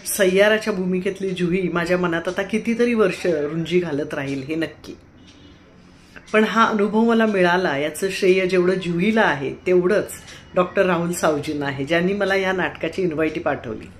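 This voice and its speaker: Lower-pitched Indian woman, noisy recording. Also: normal speed. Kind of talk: personal theatre review, spoken to camera or phone. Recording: clearly noisy background ambience.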